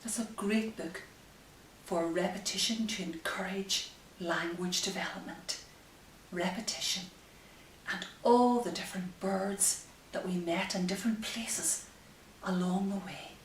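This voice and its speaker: Slow-paced woman reading a children's story. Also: medium pitch. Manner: gentle, hushed asides, drawn-out expressive phrasing. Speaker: story time host. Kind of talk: reading picture books aloud to young children. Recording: roomy, distant mic with faint hiss.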